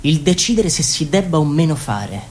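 A woman playing a wary, analytical party member who thinks aloud in halting phrases in an Italian radio drama. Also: deep voice, sing-song, a little mellow. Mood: wary, anxious. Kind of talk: Italian radio theatre, confiding narration.

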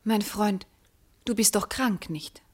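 Proud, wounded noble wife, earnest. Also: female voice, mid-range voice, slow pace, husky. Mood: concerned. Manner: earnest, elevated, declamatory verse delivery. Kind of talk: classical verse radio drama acting.

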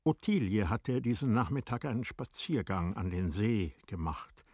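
A man narrating a classic literary radio drama in a measured, formal storytelling manner. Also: fairly low pitch, expressive, a little mellow, slightly rough, no echo, studio quality.

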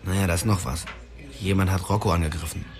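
An actor playing an eager, hot-headed young gang sidekick, blunt and pushy, in slangy radio drama dialogue. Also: male voice, low-pitched, fairly even intonation, fast talker, natural voice. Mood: agitated. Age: young adult. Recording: music behind the voice.

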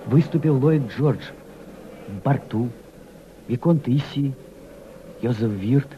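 An actor playing an earnest member of a Soviet delegation, narrating his memories with deliberate, dramatic phrasing in a radio drama. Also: mid-range voice, slight background noise, close to an everyday voice. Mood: earnest, intent. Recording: dull old recording with background ambience.

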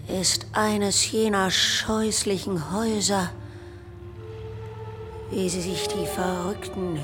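Grave gothic storyteller, lowish voice, extremely slow and ominous, loud music underneath. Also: female voice. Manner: deliberate, ominous, measured phrasing. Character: grave female storyteller describing an eerie house. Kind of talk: scripted radio play narration.

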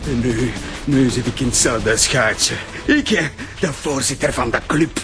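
An actor playing an enraged, defiant betrayed husband in a radio drama, Flemish accent, taunting and swearing. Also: male voice, medium pitch, measured pace, over music, natural voice. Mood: bitter rage.

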